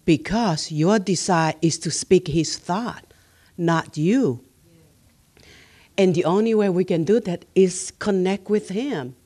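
A woman's voice: on the low side, slow, warm tone. A woman, the pastor's wife, teaching beside him in an earnest, exhorting way. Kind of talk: teaching sermon.

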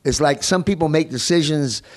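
A man's voice: on the high side, normal speed, a little mellow. Chatty laughing male pastor. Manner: chatty, laughs often, repeats words. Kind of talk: teaching sermon.

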